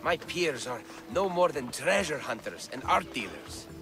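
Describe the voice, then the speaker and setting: Nerdy eager scholar for a video game, kinda high voice, swoops around, theatrical, music behind. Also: male voice, very animated, measured pace, gravelly, heavily acted. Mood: earnest. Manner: theatrical, florid, enthusiastic wording. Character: eager, bookish male scholar of antiquities in ancient Greece. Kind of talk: video game character dialogue.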